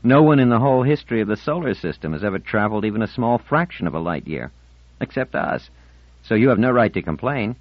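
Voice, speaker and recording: A male narrator plays a teasing, nearly grown teenage boy in an audiobook story. He is cheerful and breezy, reasoning playfully, with his pitch lifted and swinging about in a dry recording. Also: expressive, fast, no room sound, muffled recording.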